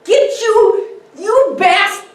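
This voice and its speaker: A woman's voice: very high voice, drawn-out words, pitched above the performer's own voice, heavily acted. A woman voicing a crazy drunk, menacing man bellowing threats in a dramatic story reading. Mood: drunken rage. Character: crazy drunk, leering male hoodlum, menacing and violent. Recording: very echoey room, distant mic, faint noise.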